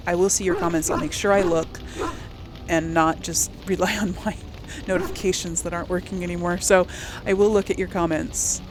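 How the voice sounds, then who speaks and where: Woman, casual gaming commentary, mid-range pitch, chatty, tentative, thinking aloud, faint background noise. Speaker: gaming video host and player. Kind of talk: casual let's play commentary.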